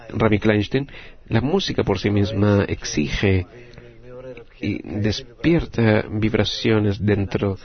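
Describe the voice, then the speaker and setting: Man, Latin American Spanish accent, lowish creaky voice, halting live interpreter, phrase by phrase. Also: highs cut off. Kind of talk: live spoken interpretation of a group discussion.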